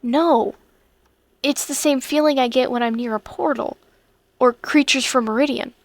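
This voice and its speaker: A woman's voice: high voice, moderate pace, no room sound. A woman voicing a spirited, eager and defiant teenage schoolgirl in a comic dub, earnest and emotive. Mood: uneasy. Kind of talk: earnest fandub acting.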